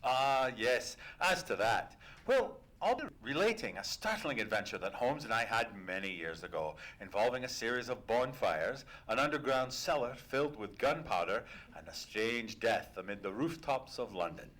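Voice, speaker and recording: An actor playing a genial older gentleman storyteller in a live old-time radio play, with a courteous, old-fashioned manner. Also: mid-range voice, animated, moderate pace, hardly any pauses, lightly acted, poor audio. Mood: reminiscing.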